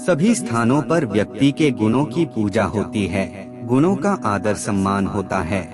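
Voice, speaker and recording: A man reading scripted moral aphorisms as a narrator, in a measured, formal recitation. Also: on the low side, sing-song. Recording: music bed under the voice. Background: background music.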